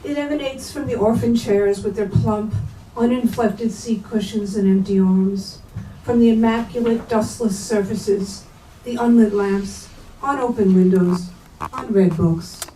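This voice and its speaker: Female reader, soft dark timbre, mid pitch, literary prose, slightly distant pickup. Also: a little slow. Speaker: reader at a staged book reading. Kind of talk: literary prose reading aloud. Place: bookstore event space, indoors. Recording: roomy, distant mic, faint noise.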